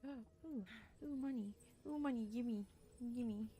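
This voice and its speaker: A woman's voice: a little high, a little slow, warm tone, a little hiss or hum. A woman game streamer giving casual, slangy playthrough commentary with playful exclamations. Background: game music.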